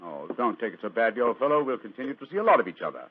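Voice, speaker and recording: Dull lo-fi radio recording, fast-talking gentleman detective, highish husky voice, debonair, witty, theatrical. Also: sing-song. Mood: cheerful, assured. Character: brisk, witty, urbane gentleman detective in love. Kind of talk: old-time radio drama acting.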